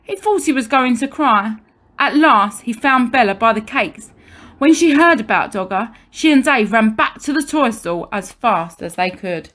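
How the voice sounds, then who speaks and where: A woman reading a children's storybook aloud like a primary teacher, expressively, with deliberate pauses and emphasis for young listeners. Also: fairly high pitch, animated, fairly bright tone.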